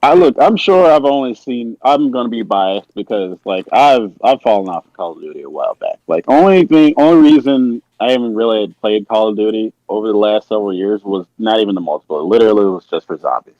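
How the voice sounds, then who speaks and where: A man's voice: fairly low pitch, animated, a little fast, hardly any pauses, mellow, dry recording, lo-fi sound. A man chatting casually as a podcast co-host, slangy and conversational in his delivery. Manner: slangy, filler-heavy, says "man" often.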